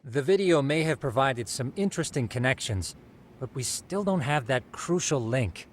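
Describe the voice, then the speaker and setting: A man's voice: mid-range voice, lively intonation, moderate pace, lightly acted. Formal, bookish male researcher played by an actor. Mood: grave, intent. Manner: formal, exact, explanatory. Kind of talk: English video game dub cutscene acting.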